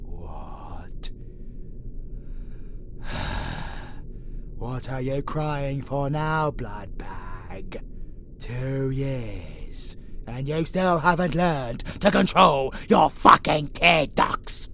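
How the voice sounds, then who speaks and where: A man playing a menacing vampire abomination in a monster audio roleplay, theatrical and taunting, with a gravelly growl. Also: mid-range voice, sing-song, extremely slow, pauses often, slight background noise, full character voice. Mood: incredulous. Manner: theatrical, taunting, foul-mouthed, swinging from whispers to shouts. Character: menacing vampire abomination, theatrical, sadistic, grudgingly fond predator. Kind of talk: monster audio roleplay, dramatic villain monologue.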